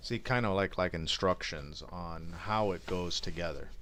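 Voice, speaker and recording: A man's voice: deep voice, moderate pace, a bit bright, slight background noise. A man hosting a live stream in a casual, unscripted way, rambling loosely as he shows gear. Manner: rambling, loose, informal phrasing. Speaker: live stream host reviewing music gear. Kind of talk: casual unscripted unboxing demo talk.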